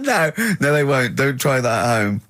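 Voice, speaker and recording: A man's voice: mid-range voice, very animated, moderate pace, fairly bright tone, no room sound. A man hosting a radio show with casual comic banter, teasing and jokey, often jumping in over others. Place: radio studio.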